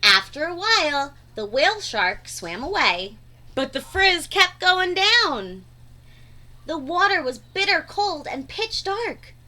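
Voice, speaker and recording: Animated, high-voiced woman reading a storybook. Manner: animated, dramatic emphasis with drawn-out words. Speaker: read-aloud narrator. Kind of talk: expressive children's storybook read-aloud.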